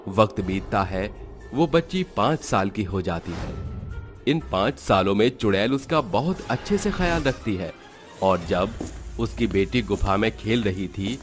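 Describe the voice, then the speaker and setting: A man's voice: lowish voice, expressive, unhurried, soft background music. A man narrating a scripted Hindi cartoon story as its storyteller.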